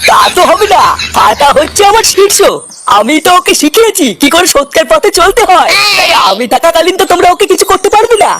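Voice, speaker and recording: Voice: very high-pitched, big pitch swings, fast talker, fairly bright tone, faint music behind, exaggerated voice. A performer voicing a pompous, preachy guru who defiantly protects a disciple in a comedy cartoon.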